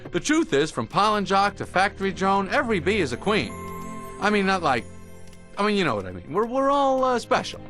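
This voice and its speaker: A man's voice: sits high, very animated, brisk, a bit bright, soft background music. An actor playing an earnest, plucky young male bee in a cartoon game, with a casual, wisecracking, self-deprecating manner. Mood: sheepish, earnest.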